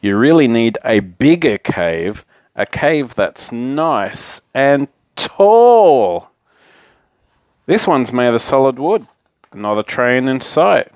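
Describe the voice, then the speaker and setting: A man voicing a sneaky, smooth-talking fox in a children's picture book, wheedling and persuasive like a salesman. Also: mid-range voice, animated, measured pace, no echo, studio quality. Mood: pushy, coaxing.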